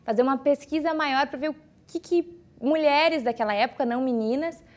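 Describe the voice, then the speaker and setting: A woman's voice: sits high, brisk. A young woman, an actor chatting informally in an interview.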